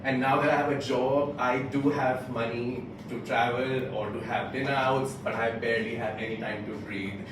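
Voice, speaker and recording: A very reverberant, distant recording with some noise carries a young man. He delivers a motivational club speech deliberately, breaking it into short rhetorical phrases. His pitch sits in an ordinary middle range for a man. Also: fairly even intonation. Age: late twenties. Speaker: club speaker giving a prepared speech. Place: indoor club meeting room, picked up from a distance. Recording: very echoey room, distant mic, background noise.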